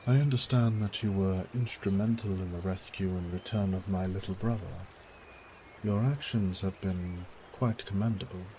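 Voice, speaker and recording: A man playing a dutiful young lord and knight in an audio drama, formal and courteous in a measured way. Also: low-pitched, steady tone, warm tone, a little hiss or hum, natural voice, poor audio. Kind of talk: audio roleplay drama acting.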